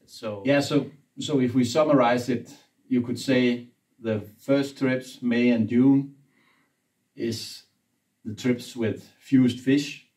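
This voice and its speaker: A man's voice: medium pitch, fairly even intonation, a little mellow, distant mic, rough recording. A man in his forties whose first language is Danish, hosting and prompting a guide through trip details, recorded indoors. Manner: leads the conversation, brisk prompts. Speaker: interviewer, trip organizer. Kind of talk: casual interview. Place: indoor room with brick wall.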